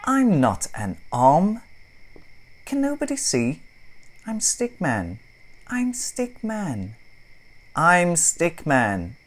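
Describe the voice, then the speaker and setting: A woman voicing a plucky, plaintive living stick, a family dad in a children's storybook, emphatic and drawn-out. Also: low voice, huge pitch swings, voice pushed up, heavily acted. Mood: indignant, pleading.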